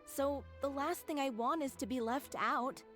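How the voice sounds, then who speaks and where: A woman's voice: high voice, a little slow, fairly bright tone, soft background music. A woman voicing an earnest, heartfelt actress from a theater troupe in a game.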